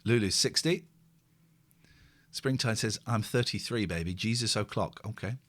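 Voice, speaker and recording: A man's voice: lowish voice, normal speed, fairly bright tone. A man, hosting a casual live stream, rambling and self-mocking as he reads out viewer comments. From England. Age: 40s. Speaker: host.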